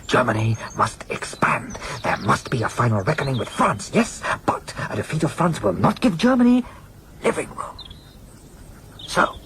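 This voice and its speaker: Fanatical male leader, mid-range pitch, domineering, grandiose, declamatory, dramatic pauses, noisy drama recording. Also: huge pitch swings. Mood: menacing fanaticism. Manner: declamatory, emphatic, with dramatic pauses and sudden hushed intensity. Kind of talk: audio drama acting.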